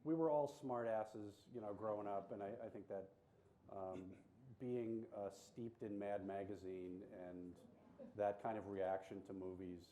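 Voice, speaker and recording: A man with a nasally, whiny voice cracks dry jokes while recalling his work on a panel. His pitch sits on the low side, with a faint hum of noise behind him. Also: a little slow, dark tone. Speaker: puppeteer and voice actor, panel guest. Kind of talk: panel discussion.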